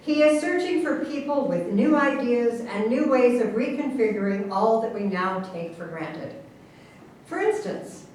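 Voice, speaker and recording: A woman playing an imperious, self-important professor and government official in a staged satirical reading, speaking in a formal, lecturing manner. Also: on the high side. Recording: echoey room, distant mic, faint noise.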